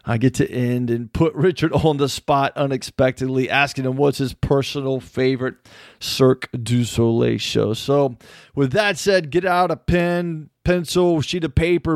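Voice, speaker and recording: A man's voice: medium pitch, no echo. Upbeat male podcast host, dry sound. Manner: upbeat, friendly, casual. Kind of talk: podcast interview hosting.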